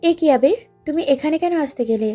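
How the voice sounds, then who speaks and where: A woman's voice: high voice, animated, fairly quick, mellow, faint music behind. A woman playing a bossy, touchy young captive in an audio story. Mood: annoyed, scolding. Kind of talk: Bengali audio story acting.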